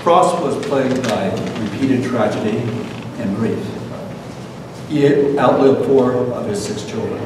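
An older man giving a scripted public lecture as the host of an event. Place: meeting room, at lectern, far camera. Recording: very echoey hall from far off, noisy rumble.

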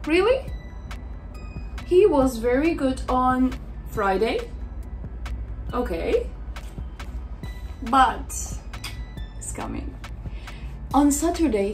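A young woman with a high voice reads a children's picture book aloud. She is expressive and exaggerated, breaking off for short, simple reactions to the pictures. Music plays along with her. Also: low-quality recording. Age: young adult. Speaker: children's story reader.

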